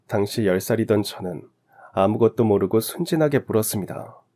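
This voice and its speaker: Radio story narrator: male, lowish pitch, scripted first-person storytelling. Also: expressive, brisk, warm tone.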